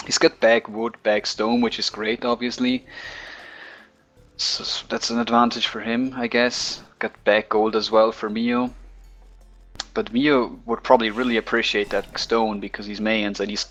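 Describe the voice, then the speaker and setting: Low-voiced man co-casting. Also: flat delivery, unhurried, a bit bright, muffled recording, faint music behind. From Liechtenstein. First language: German. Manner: thoughtful, hesitant, restarts phrases often. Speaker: co-caster. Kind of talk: esports commentary over voice chat.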